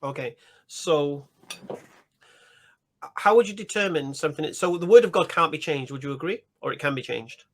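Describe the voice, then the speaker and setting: A man with a slightly high voice cross-examines a caller. He presses short questions and cuts in repeatedly. He insists on direct answers. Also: expressive, normal speed, pauses often. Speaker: debater.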